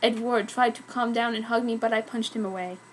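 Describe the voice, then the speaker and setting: A woman with a very high voice narrates a fan fiction story. The reading is dramatic and comic, told with exaggerated earnestness. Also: fairly even intonation, brisk, slight background noise, low-quality recording.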